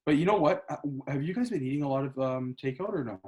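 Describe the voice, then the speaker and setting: A young man, co-hosting a casual podcast chat, swearing often and excitably talking over his co-host. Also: fairly high pitch, fast talker.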